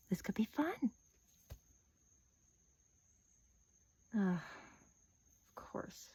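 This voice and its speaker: A woman playing a playful, menacing vampire captor in a soft-spoken ASMR roleplay, often dropping to a whisper and teasing with sadistic relish. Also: on the high side, breathy edge, full character voice. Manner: slow, teasing, with drawn-out pauses.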